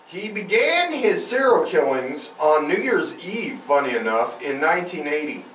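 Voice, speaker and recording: A man's voice: a little high, big pitch swings, normal speed, a bit bright. A man hosting a true crime podcast, reading out case notes with irreverent, sweary, casual asides. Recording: roomy, distant mic, faint background noise.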